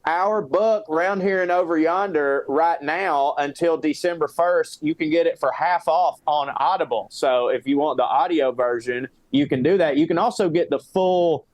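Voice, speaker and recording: Higher-voiced Southern US man, bantering. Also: moderate pace, few pauses. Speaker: comedy podcast co-host. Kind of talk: casual comic chat.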